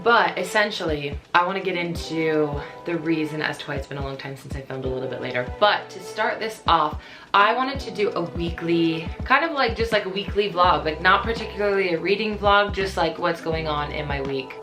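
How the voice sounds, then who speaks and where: A young woman with a deep, raspy voice, a bit hoarse from a cold, chatting casually in a home vlog. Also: animated, a little fast, over music. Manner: chatty, rambling. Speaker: vlogger.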